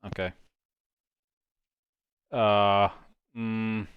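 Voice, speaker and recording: A man's voice: fairly low pitch, level tone, extremely slow, smooth, no echo, lo-fi sound. A man hosting a live stream, chatting casually and joking with friends. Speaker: host of a live dubbing stream.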